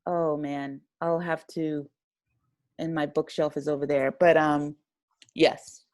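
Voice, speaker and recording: Low-voiced woman, dry close sound. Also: little pitch movement, slow, darkish tone, no room sound. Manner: tentative, self-correcting. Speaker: interview guest, writer and director. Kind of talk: casual, reflective conversation.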